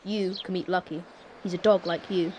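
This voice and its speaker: A woman's voice: lowish voice, fairly even intonation, moderate pace, a little mellow, slight background noise, natural voice. A woman with an English accent playing an earnest, plucky ten-year-old evacuee boy in a wartime radio drama, with a childlike way of talking. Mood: gleeful, defiant.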